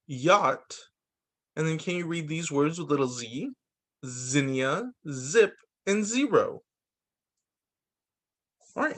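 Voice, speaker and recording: A man teacher reading picture books aloud to young children, with deliberate, sing-song phrasing. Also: on the high side, fairly bright tone.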